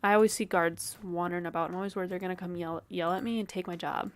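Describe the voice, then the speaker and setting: A woman's voice: lowish voice, fairly even intonation, quick pace. A woman streamer with a sniffly, dry throat, casually thinking aloud and swearing while she plays a game. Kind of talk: casual game livestream commentary.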